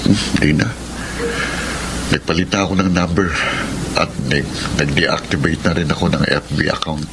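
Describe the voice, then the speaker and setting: Low-voiced romantic young man, radio drama. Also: fairly even intonation, normal speed, gravelly, pauses often, noisy background. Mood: pleading, serious. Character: earnest, romantic young man writing of his love troubles. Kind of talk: Filipino radio drama acting and letter narration. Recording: radio drama with music underneath.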